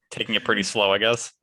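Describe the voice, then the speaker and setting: Bright-voiced, fairly low-pitched man chatting. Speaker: podcast co-host. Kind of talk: casual chat.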